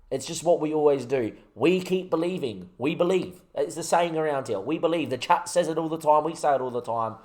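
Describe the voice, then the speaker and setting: A young man talks through his football management game on a live stream, his voice sitting at a medium pitch. He chats with his viewers and builds himself up with rallying, excitable talk. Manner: excitable, rallying, chatty with chat. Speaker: gaming streamer.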